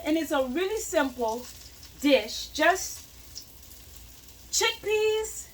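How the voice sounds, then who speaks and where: A woman's voice: high-pitched, fairly bright tone, a little hiss or hum, rough recording. A young woman, a cooking vlogger, chatting casually as she fries food in her home kitchen and moves around the stove.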